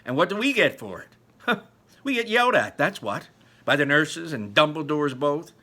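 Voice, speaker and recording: A man's voice: on the high side, big pitch swings, normal speed, sharp tone, voice pushed up, full character voice. A man voicing a resentful, sarcastic middle-school bully who gripes with sneering emphasis, reading a children's novel aloud. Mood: indignant. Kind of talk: first-person children's novel read aloud.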